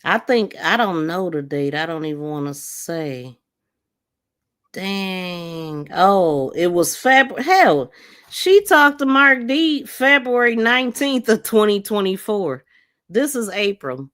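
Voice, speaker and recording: A woman's voice: medium pitch, expressive, slow, a bit bright. A woman hosting a crime podcast, giving casual, blunt commentary in an exasperated, scornful manner with emphatic repetition and swearing. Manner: emphatic, repeats phrases mockingly. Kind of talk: casual live commentary on played audio.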